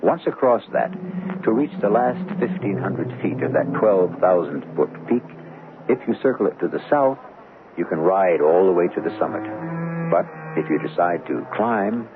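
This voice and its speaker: A man hosting a radio drama, delivering grave, deliberate scripted narration. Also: low-pitched, expressive, noisy background. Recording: vintage radio recording, phone-like, music behind.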